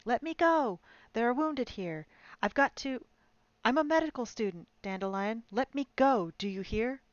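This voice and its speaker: A woman's voice: fairly high pitch, lively intonation, moderate pace, no echo, muffled recording, voice pushed up, natural voice. A woman reading aloud and voicing a hysterical young woman medical student in a storybook scene. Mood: frantic. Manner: screaming, pleading.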